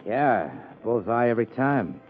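An American actor playing a guarded, tough client in a 1950s radio drama, speaking tersely and bluntly. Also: male voice, medium pitch, expressive, measured pace, soft background music, close to an everyday voice. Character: guarded, tough male client with shady business. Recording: muffled 1950s radio recording.

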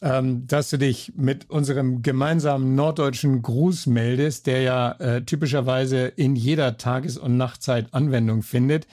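An older man from northern Germany hosting an interview podcast, with a measured, friendly way of asking questions. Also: medium pitch, steady tone, a little mellow, no room sound, studio quality. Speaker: podcast host. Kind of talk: scripted intro then interview.

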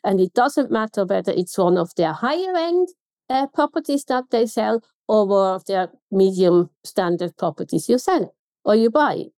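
A woman with a Swiss German accent speaks as an interview guest, making her points with firm emphasis and sharp rhetorical questions. Her voice sits at an ordinary middle pitch. It is recorded close and dry, with no room sound. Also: expressive, moderate pace, dry recording. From Switzerland. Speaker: guest consultant. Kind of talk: podcast interview.

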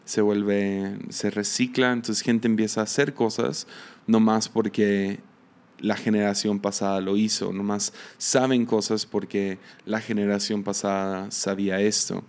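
Mexican-accented male missionary in his 30s, slightly low voice, relaxed hesitant teaching monologue. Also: unhurried. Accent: Mexican Spanish. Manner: hesitant, many fillers and restarts. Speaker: missionary podcast host.

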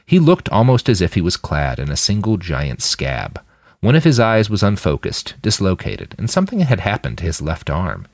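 A man reading scripted fiction as an audiobook narrator, with dramatic, expressive delivery. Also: low-pitched, normal speed, no room sound, clean studio sound. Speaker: fiction podcast narrator and author.

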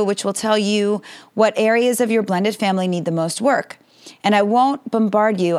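A woman with a slightly raspy voice hosting a solo family-advice podcast, talking earnestly and directly to her listeners. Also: medium pitch. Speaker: podcast host.

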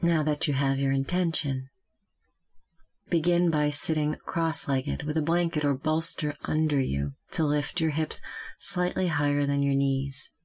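Meditation guide, gentle, calm, flat steady intonation, gravelly fry, measured scripted instruction, long pauses. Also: mid-range voice.

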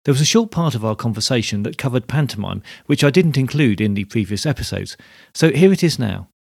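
A man's voice: mid-range voice, animated, a little fast, creaky, slightly rough, no room sound, studio quality. A man reading scripted narration as the host of a podcast.

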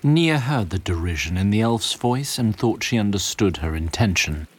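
A man with a low voice narrates an audio drama. He delivers scripted story prose as a storyteller. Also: animated, normal speed, slightly rough.

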